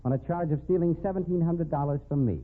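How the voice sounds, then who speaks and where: A man's voice: on the high side, fast talker, natural voice. An actor playing a shrewd, hard-boiled man with a wry, taunting tone in an old-time radio crime drama. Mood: pressing. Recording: tinny band-limited old radio recording.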